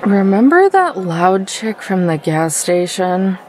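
A woman's voice: medium pitch, very animated, takes it slowly, darkish tone. A woman playing a blunt, practical young woman with a dry, guarded manner in a visual novel. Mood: wary.

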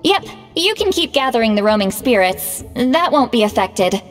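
A woman's voice: a little high, very animated, normal speed, faint music behind. A proud young shaman, reverberant. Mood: concerned, businesslike. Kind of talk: scripted video game dialogue.

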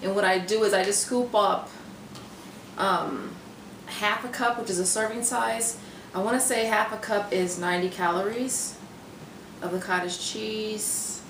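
A woman's voice: mid-range voice, fairly even intonation, measured pace. A young woman vlogging casually about meal prep in her home kitchen, chatting with lots of fillers and restarts. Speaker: fitness vlogger. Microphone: camera on counter a few feet away. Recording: roomy, distant, noisy camera audio.

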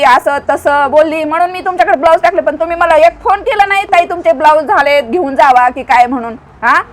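An angry, stubborn female customer acted by a woman for a prank call scolds in a high voice. She is blunt and argumentative, with a rural western Maharashtrian Marathi accent.

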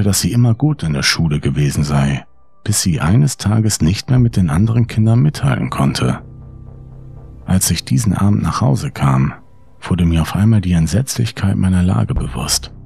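A man's voice: deep voice, gravelly, a little mellow, husky. A man reading a scripted first-person horror story in an audiobook style.

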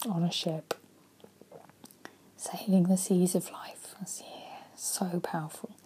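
A woman in her forties, a life coach, speaking earnestly and softly into a webcam in her living room, often dropping to a breathy near-whisper. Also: fairly low pitch. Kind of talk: motivational vlog talk.

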